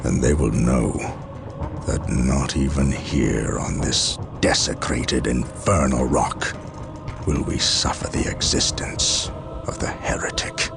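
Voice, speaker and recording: Very deep, solemn warrior captain. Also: male voice, steady tone, slow, gravelly, over music, heavily acted. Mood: solemn defiance. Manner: solemn, weighty, declamatory. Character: stern, resolute space marine captain, grimly righteous. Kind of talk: dramatic video game cutscene acting. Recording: loud score underneath.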